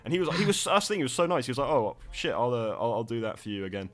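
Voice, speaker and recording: A man chatting casually through a commentary on his own fan production, rambling and laughing, recorded on a cheap microphone. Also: rapid-fire. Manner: rambling, laughing, lively pitch. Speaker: writer and director giving commentary. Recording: cheap mic, faint music.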